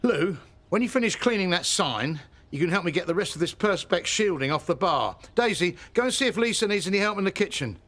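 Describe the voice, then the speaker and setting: A man's voice: high-pitched, lively intonation, brisk, fairly bright tone. An actor playing a long-suffering, affectionate middle-aged publican and father in a naturalistic radio soap. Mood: bossy. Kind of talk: naturalistic radio drama acting.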